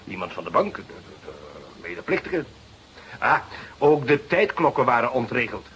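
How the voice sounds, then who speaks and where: Felle jonge rechercheur, zeer beweeglijke stem. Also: male voice, mid-range voice, sing-song, moderate pace, a bit bright, a little hiss or hum, rough recording. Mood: frustrated. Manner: theatrical, sarcastic, emphatic. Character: eager, exasperated young male police detective. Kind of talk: Dutch radio play acting.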